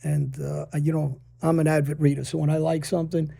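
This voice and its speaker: Gravelly dark-voiced man, rambling. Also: on the high side, flat delivery, fairly quick. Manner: rambling, many "uh"s and "you know"s. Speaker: podcast host. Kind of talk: casual interview chat.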